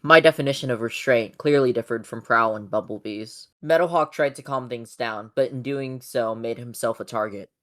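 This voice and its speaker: Narrator for a fan comic dub, middle pitch, scripted storytelling read. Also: moderate pace, few pauses, a bit bright.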